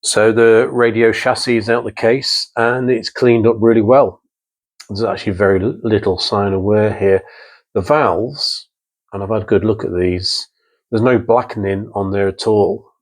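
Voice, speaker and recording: A man's voice: lowish voice, steady tone, normal speed. A man giving unscripted hands-on workshop commentary in a matter-of-fact, methodical way, with hesitant phrasing. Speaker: presenter of a vintage radio restoration channel. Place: indoor workbench in a small workshop.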